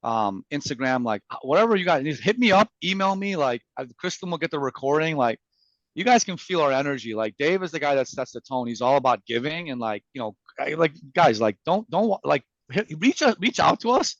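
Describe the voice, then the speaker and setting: A man whose first language is Farsi, giving an energetic, emphatic sales talk as a guest speaker, acting out sample messages. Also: a little high, a little fast, no echo, muffled recording. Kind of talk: motivational sales presentation.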